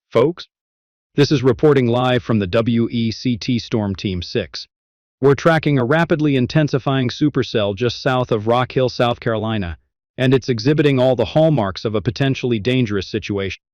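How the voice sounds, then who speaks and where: Guy playing a serious tv weather reporter, lowish voice, formal warnings, dry muffled lo-fi mic. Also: a little mellow, dry recording, lightly acted. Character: grave local TV storm team weather reporter. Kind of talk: scripted live severe weather news report. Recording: dry, dull lo-fi microphone.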